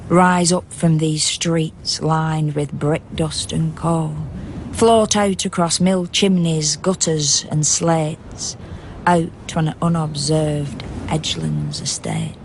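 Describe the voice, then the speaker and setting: An unsparing woman storyteller with a very low voice. She delivers verse for a radio play slowly and wryly, over faint background ambience. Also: steady tone, vocal fry, slight background noise, heavily acted. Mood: grave storytelling. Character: knowing, unsparing woman narrator, voice of conscience. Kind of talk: poetic radio drama narration.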